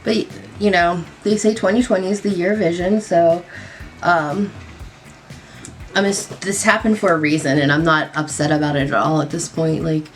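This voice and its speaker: A woman's voice: on the low side, normal speed, soft background music. A young woman doing her makeup on camera, rambling casually with lots of fillers, her voice a little nasal after a cold. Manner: rambling, lots of likes and ums. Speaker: beauty vlogger. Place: home at night.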